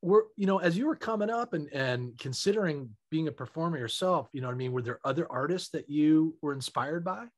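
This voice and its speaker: A man's voice: a little high, lively intonation, fairly quick, hardly any pauses. Upbeat, encouraging male interviewer. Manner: upbeat, encouraging, says "you know what I mean". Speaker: interview host. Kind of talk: casual interview.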